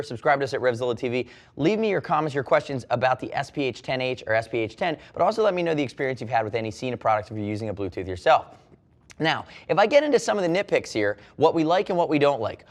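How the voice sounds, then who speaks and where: A man presents gear in a review style, talking quickly in a mid-range voice. He explains conversationally, circling back to stress his key points like a salesman walking a customer through a product. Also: medium pitch.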